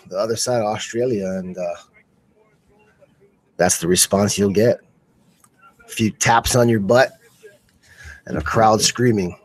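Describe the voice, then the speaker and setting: A man giving casual, slangy fight analysis with vivid, sometimes stumbling phrasing. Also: mid-range voice. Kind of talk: casual technical breakdown.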